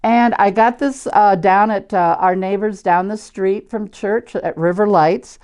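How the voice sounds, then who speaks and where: An older woman with an American Midwestern accent reads and chats to children as a storyteller, in simple, deliberate wording with questions to her listeners. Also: mid-range voice, steady tone, darkish tone, clear, resonant tone. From Iowa, United States. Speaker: church storyteller reading picture books to children. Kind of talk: children's read-aloud with chatty asides.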